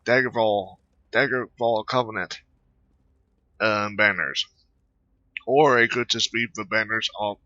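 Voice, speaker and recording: A man giving casual gameplay commentary in a rambling, hesitant, halting way. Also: on the low side, sharp tone, dry recording, lo-fi sound. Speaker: gamer narrating own gameplay.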